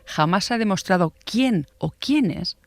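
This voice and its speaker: Male true-crime narrator, slightly high-pitched voice, very dry studio sound. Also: lively intonation, measured pace, no echo. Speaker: podcast narrator.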